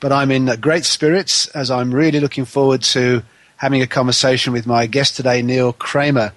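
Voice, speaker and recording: A man hosting a spiritual talk radio show, a little nasal from a head cold, with gentle hesitant phrasing. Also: medium pitch, fairly even intonation, moderate pace, no room sound.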